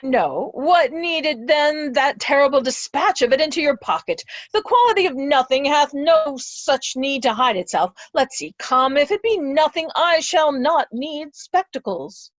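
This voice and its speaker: An aging, easily outraged earl acted by a woman in a Shakespearean reading, with a high voice that swings widely in pitch. The delivery is theatrical and exclamatory, and the recording is dull and dry. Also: animated, a little slow, few pauses, no room sound. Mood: suspicious, blustering.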